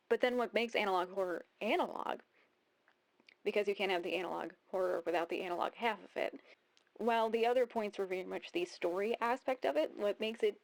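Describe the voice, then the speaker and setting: A woman's voice: medium pitch, poor audio. A woman in her thirties giving casual video essay commentary, rambling and thinking aloud as she repeats phrases. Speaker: YouTube host.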